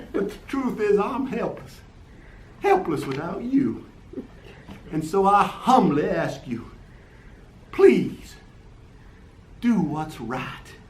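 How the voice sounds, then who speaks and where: A man's voice: high voice, reverberant, slight background noise, exaggerated voice. A man voicing a theatrical Southern lawyer who pleads emotionally to a jury. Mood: pleading. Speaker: defense attorney Mister Lee. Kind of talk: amateur radio play reading.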